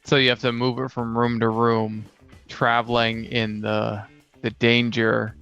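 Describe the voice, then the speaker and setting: Male co-host, casual and thoughtful, even delivery with vocal fry, slightly dull recording over music. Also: mid-range voice, a little slow. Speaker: co-host and player on a tabletop gaming show. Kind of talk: casual brainstorming chat.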